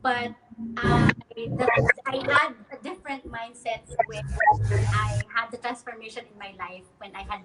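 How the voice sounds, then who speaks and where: A woman's voice: fairly high pitch, fairly even intonation, measured pace, heavy background noise. A woman in her thirties from the Philippines, a guest in a casual online interview who laughs often and uses many fillers.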